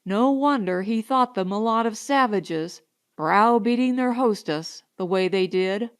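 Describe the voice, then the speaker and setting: A woman's voice: medium pitch, unhurried, clear, resonant tone. A woman reading first-person narration from a comic novel as an audiobook narrator.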